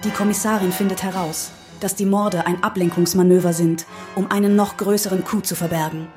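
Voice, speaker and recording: A woman's voice: mid-range voice, fairly even intonation, brisk, music in the background. A woman reading scripted crime-story material in a dramatic narration style.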